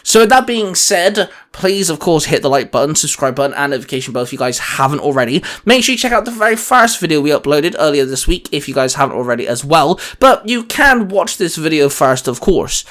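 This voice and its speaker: A man's voice: fairly high pitch, fairly bright tone. A man hosting a casual gaming chat, talking in an enthusiastic, rambling way. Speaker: host.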